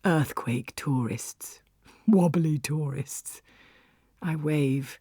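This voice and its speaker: A woman narrating a story in the first person, with an expressive, wry and theatrical delivery. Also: sits low, very slow, breathy edge, no room sound, clean studio sound. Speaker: audiobook narrator.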